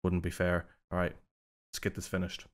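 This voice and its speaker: A man's voice: low-pitched, completely flat delivery, normal speed. A man hosting a music reaction video, talking casually and critically, rambling and breaking off mid-sentence. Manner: rambling, self-interrupting, critical.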